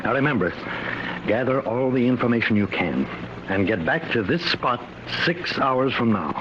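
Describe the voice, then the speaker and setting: A worried professor from a 1950s radio play acted by a man, speaking earnestly with measured hesitation. His pitch moves expressively, and the old recording is muffled and clearly noisy. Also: lively intonation, close to an everyday voice. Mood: hesitant, concerned. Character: grave, worried professor inventing time travel, fatherly. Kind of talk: 1950s radio drama acting.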